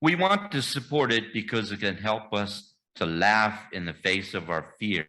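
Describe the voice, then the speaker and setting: A man leading a church membership welcome, reading the liturgy in a measured, formal way. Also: fairly low pitch, animated, bright, forceful tone. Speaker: membership committee leader. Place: church sanctuary.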